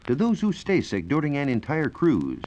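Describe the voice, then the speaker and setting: An American man working as a science reporter gives a formal, measured, scripted explanation in the style of 1940s educational radio. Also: fairly high pitch, wildly swooping pitch, a little mellow. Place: radio studio. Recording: dull, lo-fi 1940s radio recording.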